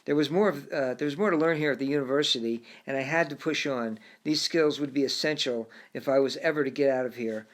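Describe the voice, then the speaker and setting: A man narrating his own first-person story as an audiobook, reflective in tone and sometimes stumbling over a word and restarting. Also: mid-range voice. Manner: reflective, with occasional halting restarts.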